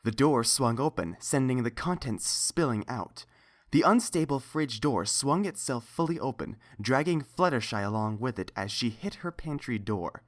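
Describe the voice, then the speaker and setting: A man's voice: medium pitch, lively intonation, normal speed. A man reading a scripted story as its narrator.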